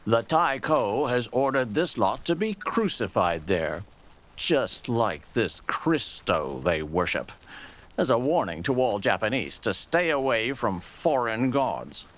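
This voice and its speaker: A man's voice: mid-range voice, big pitch swings, a bit bright. A man voicing a scornful, vehement old soldier. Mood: angry, dismissive. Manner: emphatic, contemptuous, drawn-out key words. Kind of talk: storybook character dialogue.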